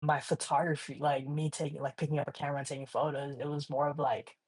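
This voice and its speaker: A man's voice: a little high, level tone, fast, slightly rough. A young man from Hawaii, a guest artist in a casual interview, talking in a laid-back, slangy way full of "like" and "you know".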